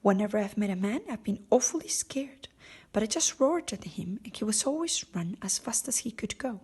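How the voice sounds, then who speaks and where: A woman's voice: mid-range voice, animated, moderate pace, darkish tone, husky. A woman voicing a big cowardly male lion from a storybook, sad, ashamed and plaintive as he confesses. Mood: sad, self-pitying. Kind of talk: storybook character voice.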